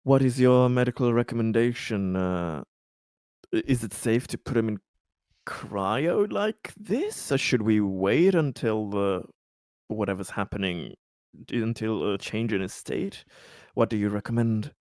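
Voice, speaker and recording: A man playing a tabletop role-playing game, talking casually in character and hesitantly repeating words as he deliberates. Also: on the low side, lively intonation, darkish tone, smooth, dry recording, studio quality.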